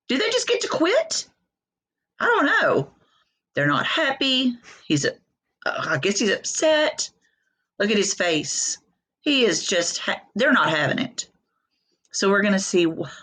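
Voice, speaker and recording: A middle-aged woman, a teacher talking to young children in a folksy, expressive way full of questions. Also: a little high, slow, pauses often, breathy edge. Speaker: elementary school teacher. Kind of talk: read-aloud for young children, chatty asides.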